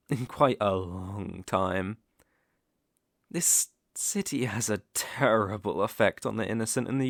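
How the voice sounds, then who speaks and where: A man with a British accent playing a sinister, smooth-talking werewolf in an ASMR roleplay, theatrical and teasing, sometimes dropping to a whisper. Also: lowish voice, fairly bright tone, dry recording, studio quality. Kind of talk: ASMR roleplay audio acting.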